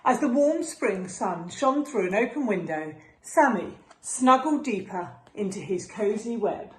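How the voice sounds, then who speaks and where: A woman reading a children's storybook aloud as an expressive narrator. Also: mid-range voice, unhurried. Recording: roomy echo with faint background noise.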